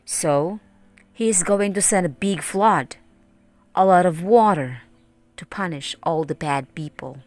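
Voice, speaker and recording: A woman's voice: lowish voice, unhurried, dry recording, soft background music, natural voice. A woman voicing an older, righteous boatbuilder in a children's Bible story, earnest, firm and admonishing. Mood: stern warning.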